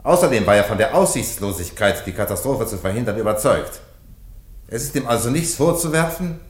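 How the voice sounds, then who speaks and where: An actor in a German radio play as a defence lawyer, pleading with emphatic rhetoric in an echoing room. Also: male voice, mid-range voice, lively intonation, brisk, close to an everyday voice. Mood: pleading, rhetorical. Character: theatrical male defence lawyer pleading for his client.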